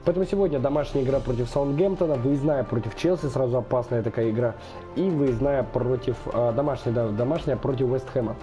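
Young guy talking through a football game, bit under the weather, mellow voice, faint music behind. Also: mid-range voice, fairly quick. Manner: chatty, thinking aloud with many fillers. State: slightly unwell, voice affected. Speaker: gaming channel host. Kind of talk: casual let's-play commentary.

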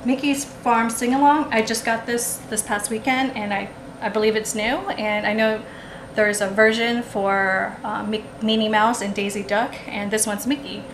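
A woman shows her finds at a table in a relaxed, rambling way, filling pauses with ums and restarting her sentences. The room rings with echo, and a clear hum of noise sits under her voice. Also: on the high side. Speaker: haul vlogger. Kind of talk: casual show-and-tell haul. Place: indoors at a table, camera over the table. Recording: echoey room with background noise.

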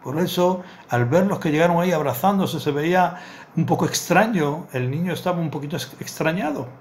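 A middle-aged male rabbi tells a parable in an earnest teaching voice, leaning on repeated words to drive each point home. His voice sits a little higher than most men's. A desk webcam catches him in a small book-lined study, with faint room hiss behind him. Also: expressive, a little fast. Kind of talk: Torah lesson with storytelling. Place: small indoor study with bookshelves.

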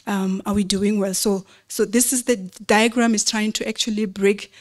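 A woman giving an explanatory slide presentation at a convention, in a measured, didactic way with rhetorical questions. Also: mid-range voice, fairly even intonation.

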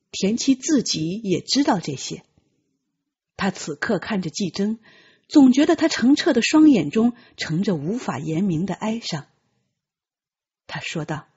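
A woman narrating a novel as an audiobook, with measured, expressive dramatic storytelling. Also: medium pitch, husky, highs cut off.

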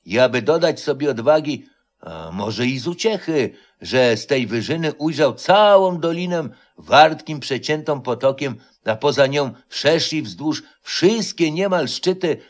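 Man playing a slow-spoken grey old highlander. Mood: awed, stirring. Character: long-haired, grey old highlander telling a solemn legend. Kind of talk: storytelling, folk legend.

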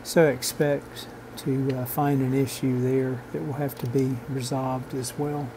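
A man narrating an instructional repair video in a halting, measured, technical way. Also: mid-range voice, little pitch movement, noisy background. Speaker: host of a radio repair channel.

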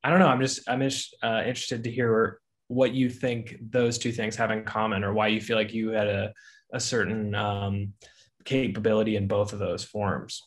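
A man's voice: fairly low pitch, little pitch movement, moderate pace. A man in his thirties casually asking interview questions on a video call, tentative and searching for his words. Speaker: graduate student panelist. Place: bright room at home, on a video call.

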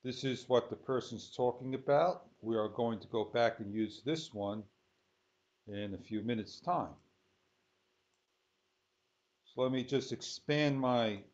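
Slow-talking man, dull lo-fi recording. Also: mid-range voice, darkish tone. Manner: halting, thinking aloud in short phrases. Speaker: software tutorial host. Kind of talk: unscripted screen-recorded tutorial. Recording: dull lo-fi sound.

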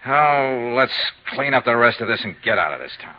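An actor in a 1950s radio drama playing a no-nonsense insurance investigator with a clipped, businesslike manner. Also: male voice, mid-range voice, animated, moderate pace, lightly acted. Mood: subdued, practical. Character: brisk, probing, no-nonsense male insurance investigator. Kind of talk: 1950s radio acting, dialogue and narrated report. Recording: muffled, noisy 1950s radio recording.

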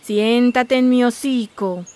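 High, coaxing, sly fox lady. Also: darkish tone. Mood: sly, wheedling. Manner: coaxing, syrupy, slow. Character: sly, flattering, sugary female fox. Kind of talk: animated fairy tale dub.

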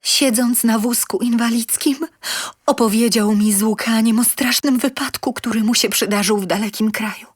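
A woman playing a self-satisfied, sensual lonely inventor, telling her comic tale in a theatrical, expressive manner. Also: on the high side, moderate pace, breathy edge, no room sound, studio quality. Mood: melodramatic. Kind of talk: dramatic comic story narration.

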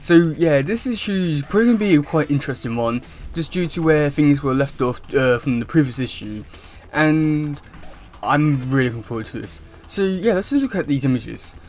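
A young man chatting casually to camera as a YouTube host, with a halting, wordy delivery. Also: on the high side, animated, faint music behind. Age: young adult. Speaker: YouTube host reviewing comic news. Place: small room indoors at home.

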